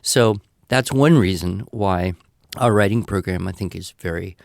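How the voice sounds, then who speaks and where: Man, low pitch, dry close studio sound, measured explainer, podcast advice, emphatic repeats. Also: creaky, dry recording. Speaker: podcast expert answering listener questions. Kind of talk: conversational advice.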